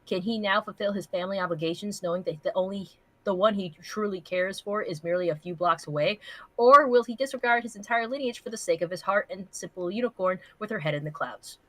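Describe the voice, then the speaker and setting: A woman co-hosting a livestream with casual banter and commentary, animated, blunt and sweary. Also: medium pitch, quick pace, no room sound. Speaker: co-host of a livestream.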